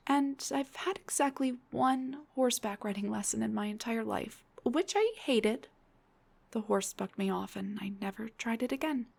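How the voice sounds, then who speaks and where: A young woman playing an embarrassed, self-deprecating female Dullahan in an intimate audio roleplay, hesitant and rambling, with a husky, breathy voice. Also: fairly high pitch, exaggerated voice. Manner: hesitant, stammering, rambling confession.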